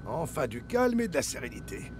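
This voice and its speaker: A man's voice: on the high side, very animated, brisk, noisy background. An actor playing an earnest male football coach in a French cartoon dub. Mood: relaxed, focused.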